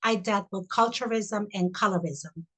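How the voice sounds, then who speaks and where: A woman's voice: mid-range voice, moderate pace, fairly bright tone. An older woman from Honduras whose first language is Spanish, telling her life story as a webinar guest with many "you know"s. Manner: reflective, repeats "you know". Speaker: guest, chaplain. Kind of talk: personal storytelling. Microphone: video call.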